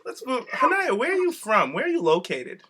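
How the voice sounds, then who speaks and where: A man with a Rhode Island accent hosting a podcast, bantering in an animated, campy, slangy way. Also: very high-pitched, normal speed, slightly rough. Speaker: podcast host. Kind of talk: casual podcast chat.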